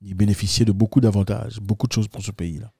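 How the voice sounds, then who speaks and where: A man's voice: on the low side, steady tone, fast talker, vocal fry, a little mellow, breathy edge, dry recording, studio quality. A man hosting an opinionated political discussion, speaking emphatically and repeating phrases for effect.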